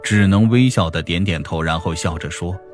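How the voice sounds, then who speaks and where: A man's voice: low voice, normal speed. A man narrating a scripted audiobook story. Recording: music behind the voice.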